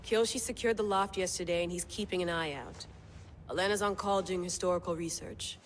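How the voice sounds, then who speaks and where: A woman's voice: on the low side, a little fast, natural voice. An actress playing an intense, sardonic woman researcher who pushes on with her work despite warnings. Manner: driven, intense, wry. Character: driven, wry woman scientist obsessed with an artifact. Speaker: researcher and cell leader. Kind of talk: game cutscene acting. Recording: long-reverb room with faint noise.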